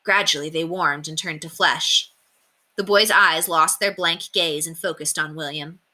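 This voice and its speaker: A woman reading a children's story aloud as an expressive storyteller. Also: sits low, unhurried.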